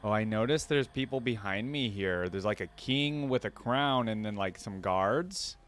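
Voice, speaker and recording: A man's voice: fairly low pitch, moderate pace, a bit bright, a little hiss or hum. A young adult man giving casual, off-the-cuff reaction commentary as a video host, thinking aloud in slangy speech.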